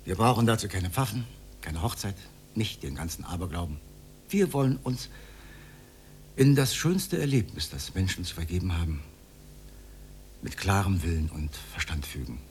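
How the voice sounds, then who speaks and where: A man's voice: low-pitched, sing-song, moderate pace, pauses often, darkish tone, a little hiss or hum. An actor in a radio drama playing an earnest, awkward, idealistic older man who speaks in a stilted, formal, lecturing way. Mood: solemn, courting. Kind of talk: 1980s German radio drama acting.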